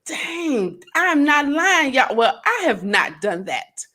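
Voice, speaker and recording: A woman's voice: high-pitched, sing-song, takes it slowly, sharp tone. A woman co-hosting a relationship talk show, teasing and laughing often, with casual slang. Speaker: co-host.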